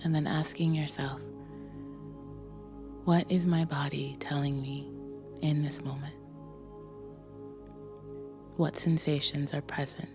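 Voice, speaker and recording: A woman leading a guided meditation with a soft, breathy voice, gently instructing in short phrases with pauses between them. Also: deep voice, flat delivery. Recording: music behind the voice. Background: music.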